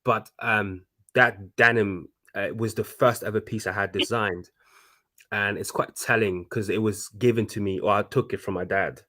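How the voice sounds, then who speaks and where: A man's voice: fairly low pitch, steady tone, normal speed, pushed and bright. A man in his thirties from Congo, a fashion designer talking casually and animatedly as a guest, with lots of restarts. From Congo (DRC). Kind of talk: casual conversation.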